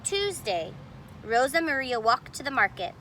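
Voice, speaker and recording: Bright, animated woman reading a picture book. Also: high-pitched, moderate pace, a little hiss or hum, poor audio. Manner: animated, explanatory, pointing out details to young listeners. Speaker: storybook reader. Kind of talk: expressive picture-book read-aloud with casual asides.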